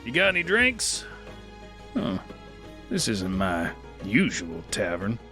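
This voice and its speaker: A man's voice: on the low side, huge pitch swings, slow pace, sharp tone, faint music behind, exaggerated voice. A man playing a weary, laconic gunslinger. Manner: dry, laconic drawl. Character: weary male gunslinger, laconic and world-worn. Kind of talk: first-person narration.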